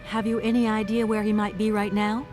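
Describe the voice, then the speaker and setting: A woman's voice: medium pitch, little pitch movement, brisk, darkish tone, faint music behind, natural voice. An actress voicing a polite, inquisitive adventure game heroine, courteous and questioning in her video game dialogue. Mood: polite, curious.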